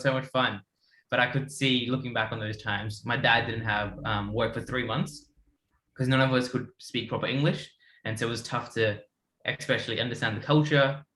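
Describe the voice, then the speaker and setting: A man's voice: mid-range voice, bright, forceful tone, roomy sound, rough recording. A man in his twenties from India, telling his story in a rambling casual way with many restarts. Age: 20s. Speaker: guest. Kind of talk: casual panel chat.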